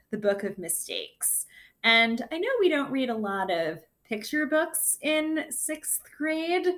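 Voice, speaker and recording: A woman teacher giving a read-aloud lesson for children, reading a picture book with gentle, deliberate, expressive phrasing and her own commentary. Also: fairly high pitch. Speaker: sixth grade teacher.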